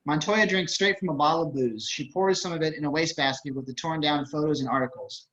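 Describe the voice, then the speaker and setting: Bright, higher-voiced man narrating a script. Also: quick pace. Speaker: stage-direction narrator. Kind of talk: scripted narration, table read. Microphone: computer on a video call. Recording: dull, lo-fi video-call audio.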